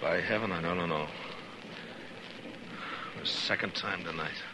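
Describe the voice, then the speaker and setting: An American actor playing a steadfast, terse US marshal in a 1950s radio western, with measured, halting speech. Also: male voice, low-pitched. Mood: grim, reflective. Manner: terse, measured, hesitant pauses. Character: steadfast, laconic US marshal of the Old West. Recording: noisy 1950s radio recording.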